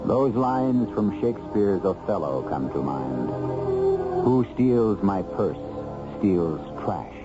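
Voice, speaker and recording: Deep, slow, theatrical male radio host. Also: very animated. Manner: measured, dramatic, literary. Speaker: host and narrator. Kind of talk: theatrical scripted radio narration. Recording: muffled old radio recording with music.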